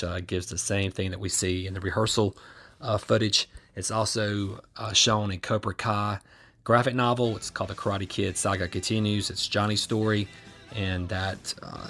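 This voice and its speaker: A man hosting an informal explainer commentary, halting as he repeats and restarts his phrases, with music behind him. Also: fairly low pitch, a bit bright. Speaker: host of an eighties film nostalgia series.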